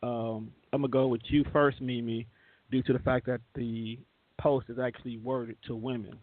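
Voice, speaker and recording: A man's voice: medium pitch, flat delivery, normal speed, dry recording. A man with an American accent, chatting casually and slangily as a teasing radio show host. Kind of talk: casual chat. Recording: narrow phone-like line, dry.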